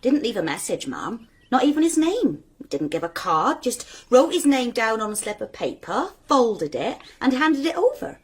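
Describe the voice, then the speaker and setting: A woman's voice: fairly high pitch, sing-song, moderate pace, few pauses, breathy edge. A woman acting a deferential, plain-spoken household maid in a radio play. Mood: deferential, matter-of-fact.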